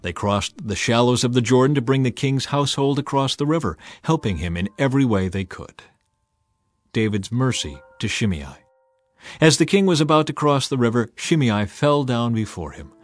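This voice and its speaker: Male narrator, mid-pitched, dramatized Bible storytelling with soft music underneath. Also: animated, normal speed. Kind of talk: dramatized scripted narration of scripture.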